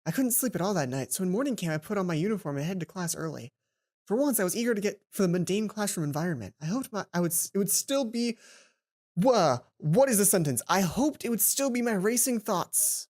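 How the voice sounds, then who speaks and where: Lively, expressive woman reading a game aloud. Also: mid-range voice, brisk. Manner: playful, expressive reader who stumbles and rephrases. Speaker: let's play host. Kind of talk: reading a visual novel aloud with side comments.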